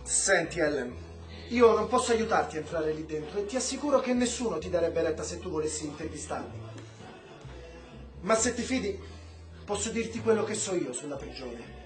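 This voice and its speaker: A man's voice: a little high, lively intonation, moderate pace, over music, close to an everyday voice. An actor playing an impassioned, sardonic Cuban man who argues politics emphatically in a radio drama. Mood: measured. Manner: emphatic, ironic, lecturing. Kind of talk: Italian theatrical radio drama dialogue. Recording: roomy stage-like echo.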